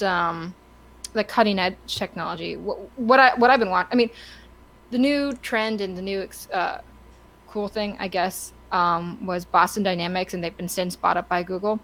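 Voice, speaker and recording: A woman co-hosting a podcast, talking casually and with opinions in a halting, stop-start way as she searches for words. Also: mid-range voice, fairly bright tone, rough recording. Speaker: podcast co-host.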